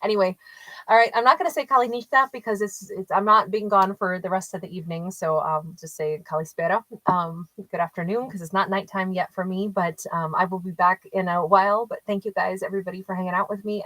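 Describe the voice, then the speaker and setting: A woman's voice: medium pitch, steady tone, fast talker, pushed and bright, no echo, rough recording. A chatty woman tarot storyteller who talks casually with live viewers and laughs often. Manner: rambling with frequent restarts and fillers. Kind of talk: casual livestream reading and chat with viewers.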